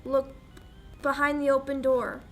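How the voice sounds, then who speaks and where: A young woman acting an anxious, sharp-tongued fiancée in a 1940s-style radio drama. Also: high voice, level tone, unhurried, faint music behind. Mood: shaken. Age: young adult. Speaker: high school actor. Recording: background noise.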